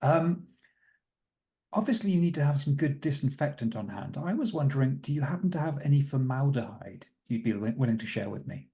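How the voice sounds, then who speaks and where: A man's voice: medium pitch, lively intonation, brisk, warm tone, natural voice. A man playing a polite, curious and slyly evasive doctor in a tabletop game, with a courteous, genteel manner. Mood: polite curiosity.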